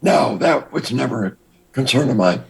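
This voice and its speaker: An older man with a raspy voice, a guest telling his past story in a measured, deliberate way. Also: medium pitch, animated. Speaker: interview guest. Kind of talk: recounting his past in an interview.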